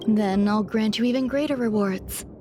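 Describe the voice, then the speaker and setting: A woman voicing a calm, aloof scientist in a video game, speaking in a measured, formal, politely controlling way. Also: fairly high pitch, music in the background, natural voice. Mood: detached, composed. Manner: measured, soft-spoken, formal.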